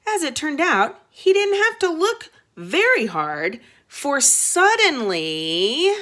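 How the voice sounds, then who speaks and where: Slow, highly expressive woman reading a storybook. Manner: expressive, dramatic. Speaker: teacher reading aloud. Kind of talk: storybook narration with teaching asides to children.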